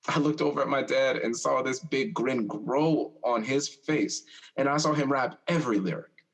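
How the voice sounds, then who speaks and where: A young man acting in an audio drama as a passionate, teasing, protective older brother with an expressive, streetwise way of talking. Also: medium pitch, close to an everyday voice, rough recording. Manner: expressive, streetwise, informal storytelling.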